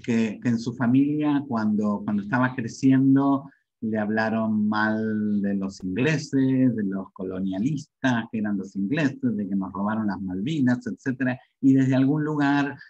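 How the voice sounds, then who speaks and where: Husky, low-voiced Argentine man teaching. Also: measured pace, a little mellow. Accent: Argentine Spanish. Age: middle-aged. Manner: explanatory, often checks in with short tag questions. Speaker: language teacher giving a webinar. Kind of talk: informal explanatory lecture. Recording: dull, muffled webinar audio.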